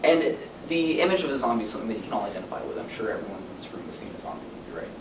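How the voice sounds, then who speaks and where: A young man giving an informative student speech in a conversational way with deadpan humor. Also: very fast. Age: young adult. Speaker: student speaker. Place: classroom. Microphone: distant camera microphone. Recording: distant mic, echoey room, noisy rumble.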